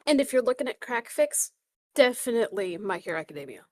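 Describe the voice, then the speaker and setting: A woman's voice: fairly high pitch, sing-song, fast, darkish tone, no echo. Female podcast co-host, casual chat, blunt and chatty, dry close sound. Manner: chatty, blunt, fan-culture talk.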